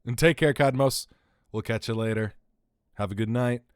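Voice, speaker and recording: A man's voice: mid-range voice, moderate pace, smooth, dry recording, clean studio sound. A man chatting casually as he streams a video game, talking in a rambling, conversational way. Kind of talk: casual livestream gameplay chat. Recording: game music behind the voice. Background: video game music.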